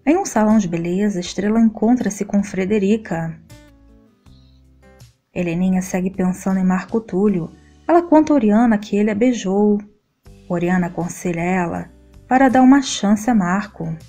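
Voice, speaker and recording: A woman narrating a scripted soap opera episode recap in a steady, even read-aloud delivery. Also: mid-range voice, normal speed, soft background music.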